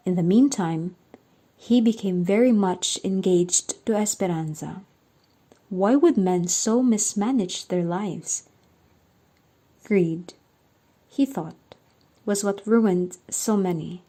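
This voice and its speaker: A woman's voice: mid-range voice, warm tone. A woman narrating and announcing a scripted radio drama in a dramatic storytelling style. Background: faint music.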